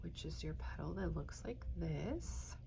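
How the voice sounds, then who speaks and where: A woman's voice: low-pitched, expressive, a little slow, gravelly, noisy background. A woman hosting a casual paper craft tutorial, a bit congested, chatty and rambling, often dropping to a near-whisper while she works. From Texas. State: congested, stuffy-sounding.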